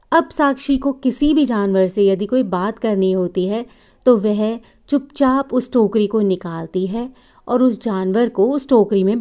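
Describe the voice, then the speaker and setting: A woman's voice: mid-range voice, dark tone. A woman narrating a scripted children's story as a storyteller.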